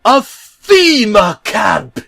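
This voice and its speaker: A man doing a shouty cartoon builder with a British accent. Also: fairly high pitch, big pitch swings, pitched above the performer's own voice, exaggerated voice. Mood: sly. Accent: put-on British. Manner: loud, shouty and drawn-out. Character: cheerful cartoon builder turning ranting fanatic.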